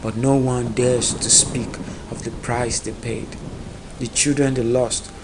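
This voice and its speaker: A man narrating a dark epic folktale in a solemn, dramatic storytelling manner. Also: mid-range voice, flat delivery, a little slow, noisy background.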